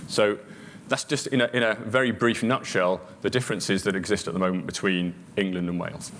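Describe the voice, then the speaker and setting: A man, a senior police officer, speaking deliberately at a conference, pausing with fillers. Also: fairly low pitch, fairly even intonation. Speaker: police chief superintendent. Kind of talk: conference presentation. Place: conference hall. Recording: distant hall with faint hum.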